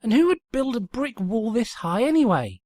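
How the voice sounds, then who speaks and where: A woman's voice: on the high side, sing-song, normal speed, darkish tone, no echo, studio quality. A woman voicing a hot-tempered, indignant young female pony in an acted fan fiction reading. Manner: indignant, exasperated, emphatic.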